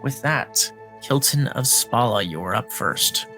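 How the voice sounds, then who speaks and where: A man's voice: medium pitch, lively intonation, slow, bright, forceful tone, soft background music. A man acting as game master, narrating a dramatic recap and explaining rules casually.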